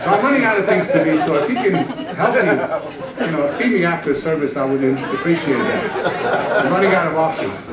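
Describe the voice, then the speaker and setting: A man's voice: a little high, normal speed, over a noisy crowd. A man in his sixties from Iran, a pastor giving a joking testimony sermon on a church stage with a handheld microphone. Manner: storytelling, joking, animated gestures while pacing the stage. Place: church stage, large room. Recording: echoey church hall from far off.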